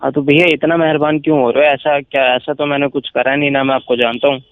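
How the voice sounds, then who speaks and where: A man's voice: a little high, level tone, fast, dry recording, phone-quality sound. A man in a casual phone argument, talking bluntly and confrontationally. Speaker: prank call recipient.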